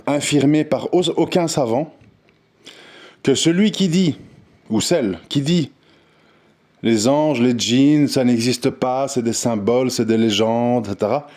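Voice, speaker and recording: A man's voice: medium pitch, darkish tone. A man giving an unscripted, earnest audio monologue on religion, insistent and full of restarts and repeated words. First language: not Arabic. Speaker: religious commentator.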